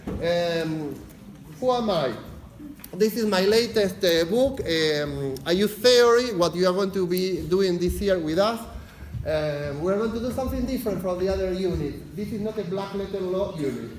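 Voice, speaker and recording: Man, Argentine Spanish accent, university lecturer, fast-talking, high voice, echoey lecture hall. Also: animated. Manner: fast-talking, frequent "yes?" check-ins, filler "uh". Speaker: university lecturer, unit leader. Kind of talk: lecture introduction. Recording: echoey lecture hall, faint background noise.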